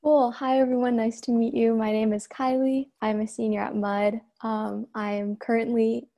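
A woman's voice: a little high, level tone, normal speed, warm tone. A woman in her early twenties from the Bay Area, casually introducing herself as a student on a video call. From San Francisco Bay Area. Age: early 20s. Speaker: student panelist. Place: indoor room, video call. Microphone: video call microphone.

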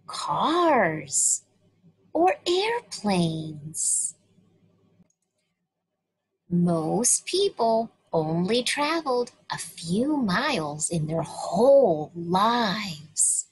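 Brief: woman storyteller for kids, very slow, lively pitch, breathy husky tone, webcam mic. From United States. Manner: slow, expressive storytelling to children. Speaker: children's story reader. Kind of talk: read-aloud for children.

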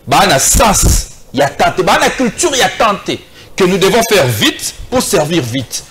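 Homme véhément au timbre aigu. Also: male voice, high voice, sing-song, unhurried, fairly bright tone, slight background noise. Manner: emphatic, critical, gesturing. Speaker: political commentator. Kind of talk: TV debate. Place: TV studio set.

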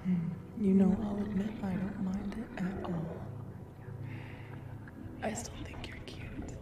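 A woman's voice: medium pitch, fairly even intonation, very noisy, close to an everyday voice. A woman playing a teasing, flirtatious sleep paralysis demon in a whispered ASMR roleplay. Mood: flirtatious, musing. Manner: slow, teasing, drawn-out whispering.

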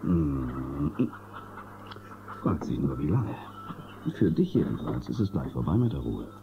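An actor playing a resolute, authoritative marshal hero in a space-western audio drama. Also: male voice, rumbling low, sing-song, unhurried, full character voice. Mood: wry, teasing. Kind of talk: German audio drama acting. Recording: tinny, phone-like sound with noise.

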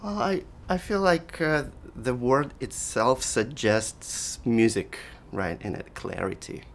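A middle-aged man whose first language is Russian, a clarinet professor speaking thoughtfully and measuredly in an interview, with pauses and restarts. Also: mid-range voice, vocal fry. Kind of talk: reflective interview. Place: living room with daylight window.

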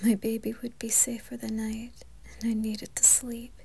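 A woman narrating a scripted horror story in the first person, theatrical and deliberate, turning breathy when hushed. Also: a little high, completely flat delivery. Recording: music behind the voice. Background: music.

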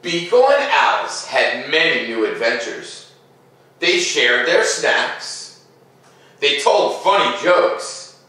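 A middle-aged man reading a storybook aloud to children with casual commentary, expressive and drawing out words as he reads. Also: fairly high pitch, slow pace. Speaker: read-aloud host. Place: living room, seated in armchair by fireplace. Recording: roomy echo, distant microphone.

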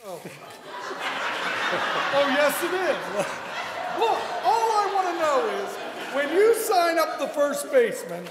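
Middle-aged man, animated pitch, slow pace, exasperated stage comedy reading, reverberant hall. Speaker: amateur comedy performer. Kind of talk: reading a classic radio comedy routine live on stage. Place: small indoor stage in a hall. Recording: echoey, noisy hall from a distance.

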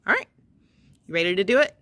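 A woman's voice: mid-range voice, very animated, fast talker, fairly bright tone. A woman hosting a home vlog, talking in an upbeat, chatty way and often giggling, recorded on the phone she holds. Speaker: vlog host. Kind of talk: casual chat. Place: backyard outdoors, then home kitchen. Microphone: phone camera held by her.

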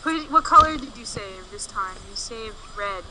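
A woman's voice: a little high, animated, normal speed, very noisy. A woman co-commentating a let's play, teasing and sarcastically backseat-gaming the player. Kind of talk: casual gameplay commentary.

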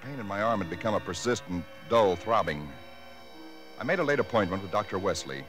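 An actor playing a haunted, brooding American husband in a 1940s radio drama, speaking haltingly and intensely. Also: male voice, fairly low pitch, animated. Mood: tense dread. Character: haunted, dread-filled husband recounting nameless horrors. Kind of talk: 1940s radio drama acting, first-person narration. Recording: 1940s radio broadcast with loud music.

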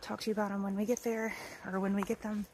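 A woman's voice: medium pitch, little pitch movement, fast, slight background noise. A woman in her twenties vlogging while thru-hiking a wooded mountain trail, talking casually into a phone held at arm's length, with lots of ums and some near-whispered asides. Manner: chatty, with frequent ums, drops to a near whisper at times. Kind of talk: casual vlog narration. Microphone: phone camera held at arm's length.

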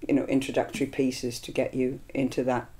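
A woman's voice: very deep voice, a little fast. An older woman from Scotland, raised in London, talking earnestly in an interview about her start as an improvising singer, with fillers and restarts. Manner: earnest, animated with hand gestures. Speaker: improvising vocalist. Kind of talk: reflective interview. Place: small indoor studio room.

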